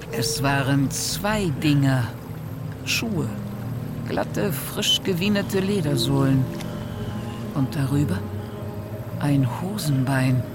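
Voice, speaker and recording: A man reading dramatic, suspenseful horror narration for an audio drama in a measured way. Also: fairly high pitch, heavy background noise.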